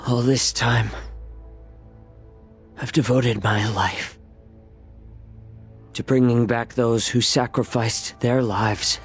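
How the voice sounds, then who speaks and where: A man playing a weary, resolute healer in a dramatic video game, speaking gravely and sorrowfully with measured restraint. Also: mid-range voice, fairly even intonation, faint music behind.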